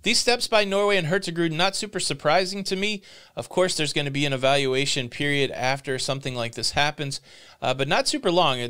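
A man in his forties giving a steady, matter-of-fact news update as a vlog host in a home studio. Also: medium pitch, smooth. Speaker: cruise news vlogger. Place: home studio with purple lighting. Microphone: podcast mic in front.

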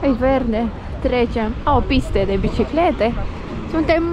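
A woman's voice: fairly high pitch, crowd noise. A woman vlogging casually while walking city streets, pointing things out to her viewers. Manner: chatty, guiding, addresses viewers. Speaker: travel vlogger. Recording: noisy outdoor street recording. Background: loud street traffic.

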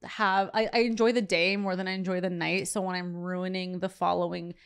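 A young woman hosting a podcast, chatting casually in a decorated studio with a boom microphone, relaxed and full of fillers. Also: brisk, clean tone. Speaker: podcast host. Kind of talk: casual chat. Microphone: studio mic on boom arm.

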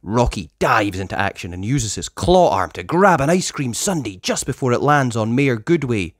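A person reading a children's story aloud in expressive narration. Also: medium pitch, moderate pace, no room sound, studio quality. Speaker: storybook reader.

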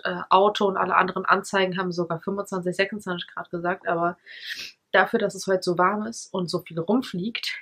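A woman's voice: medium pitch, a bit bright. A German woman in her thirties, a little stuffy-nosed, chatting casually in a home vlog as she shows products one by one. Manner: chatty, showing products one by one, lots of fillers. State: slightly stuffy nose. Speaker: vlogger. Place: living room at home. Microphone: camera on tripod at close range.

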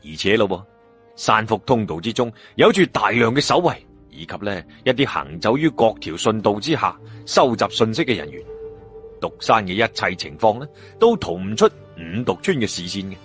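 A man's voice: fairly low pitch, big pitch swings, moderate pace, a bit bright. A man narrating a story dramatically, with colloquial playful asides and exclamations, as an audiobook storyteller. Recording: background music behind narration.

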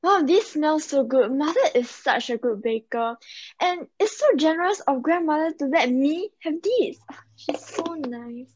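A teenage girl playing a spoiled, gossipy fairy-tale girl with a drawn-out, gushing manner in a drama. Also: high voice, lively intonation. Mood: greedy, pleased. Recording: muffled video-call audio.

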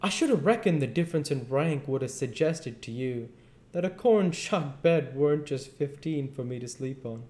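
A man's voice: on the high side, expressive, normal speed, a little mellow, pitched above the performer's own voice, lightly acted. A man voicing a haughty, indignant storybook conman king with a pompous, affronted manner. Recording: echoey home room recording.

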